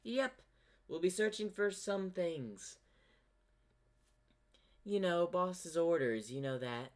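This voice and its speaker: A haughty cartoon mare acted out by a man, pitched well up high. She is boastful and impatient, with a sarcastic, dismissive edge to her words. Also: expressive, takes it slowly. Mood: bossy.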